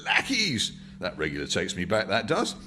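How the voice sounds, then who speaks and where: A man's voice: fairly low pitch, huge pitch swings, moderate pace, a little hiss or hum, voice pushed up. A man voicing a garrulous elderly working-class Londoner, reminiscing in colloquial speech in a novel reading. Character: rambling old working-class Londoner in a pub.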